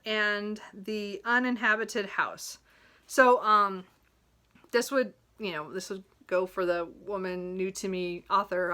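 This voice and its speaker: A woman's voice: a little high, bright, forceful tone. A woman chatting casually about her reading list as a book vlogger, rambling and giggly, often correcting herself and apologizing. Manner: giggles often while talking.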